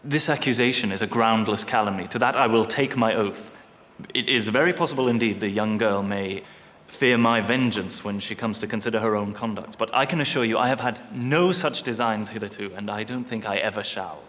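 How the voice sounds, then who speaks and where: An actor playing a proud, courteous Venetian gentleman who speaks formally and with self-assurance in a period drama. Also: fairly low pitch, brisk, natural voice. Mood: indignant, polite. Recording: long reverberant hall.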